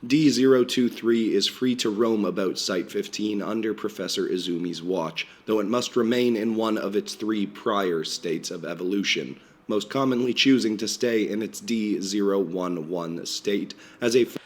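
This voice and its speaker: Guy doing a stiff formal lecturer, measured, lowish voice, a bit strained from a cold. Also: close to an everyday voice.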